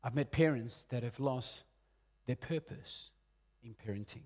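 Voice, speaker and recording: A man in his late forties from Peru, preaching a sermon in an earnest way, rising loud on key points and dropping soft and low. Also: measured pace. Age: 40s. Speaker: pastor.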